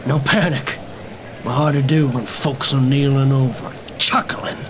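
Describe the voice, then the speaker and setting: A man's voice: mid-range voice, fairly even intonation, measured pace, background noise, close to an everyday voice. A man voicing a harried police captain in a noir radio play, grudging and curt. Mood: irritated.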